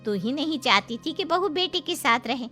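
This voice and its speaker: High, sharp, scheming older woman acted by a woman. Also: lively intonation, moderate pace, faint music behind. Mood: gloating, spiteful. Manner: gloating, drawling, dramatic. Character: spiteful, scheming older woman, mother-in-law's gloating friend. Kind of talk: melodramatic cartoon story voice acting.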